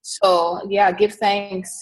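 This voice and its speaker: Middle-aged woman from east africa, herbalist guest, earnest and thoughtful, lots of ums and pauses. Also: medium pitch, level tone, low-quality recording. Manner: reflective and earnest, pausing often with fillers. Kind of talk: casual conversational interview.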